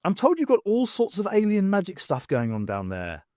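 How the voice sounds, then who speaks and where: Guy reading as a woman professor, brisk and flippant, slightly high, audiobook adventure. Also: huge pitch swings, warm tone, no room sound, clean studio sound. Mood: brisk, wry. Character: brisk, wry woman professor radioing a rescue. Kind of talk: audiobook character voice.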